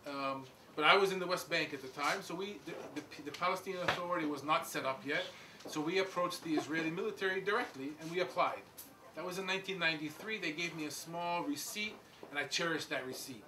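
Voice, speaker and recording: A man's voice: on the high side, quick pace, crowd noise. A middle-aged man from the United States tells his life story to a visiting group in a conversational way, explaining step by step and adding asides. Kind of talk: informal talk to a group. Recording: distant mic with audible room noise.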